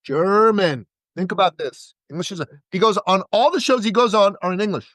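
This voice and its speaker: High-voiced emphatic man pitching. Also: brisk, a bit bright, no room sound. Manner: emphatic, repeats words for stress. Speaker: podcast guest, business coach. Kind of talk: energetic sales pitch.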